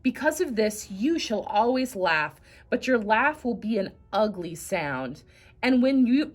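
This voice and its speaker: Woman putting on an angry booming god, loud and scolding, pitch all over the place. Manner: stern, scolding. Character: furious booming male God.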